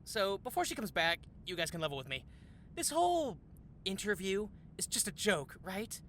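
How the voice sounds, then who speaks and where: Casting: pompous sexist male office rival voiced by a woman, bright, animated delivery, comedy audio drama, faint rumble. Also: moderate pace. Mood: conspiratorial, boastful. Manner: pompous, sneering.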